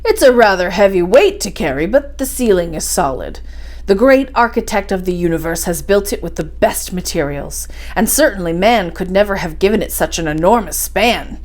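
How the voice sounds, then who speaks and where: A woman's voice: lowish voice, darkish tone, lightly acted. A woman voicing a learned, confident older professor uncle in a story, speaking in an assured, didactic way.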